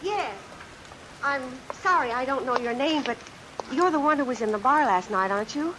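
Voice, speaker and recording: A woman's voice: sits high, expressive, moderate pace. Earnest young American woman on rainy old radio. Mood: shy, grateful. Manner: hesitant, polite. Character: earnest, guarded young secretary, politely uneasy. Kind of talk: old-time radio suspense acting. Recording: vintage radio recording, hiss and heavy rain. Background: rain sounds added over the broadcast.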